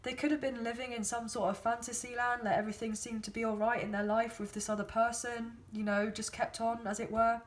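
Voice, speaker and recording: A woman's voice: a little high, flat delivery, brisk, hardly any pauses. A woman gives a chatty, rambling tarot reading, filling it with hedges and ums. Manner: chatty, rambling, hedging with many fillers. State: sore throat, losing her voice. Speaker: tarot card reader. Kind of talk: casual spoken tarot reading.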